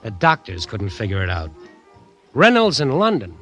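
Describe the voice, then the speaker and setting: An American man acting a haunted bandleader in a vintage radio thriller, narrating his slow decline in a halting, weary, dramatic way. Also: on the low side, wildly swooping pitch, a bit bright. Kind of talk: 1940s-50s radio drama acting, first-person narration. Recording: vintage radio recording, music behind.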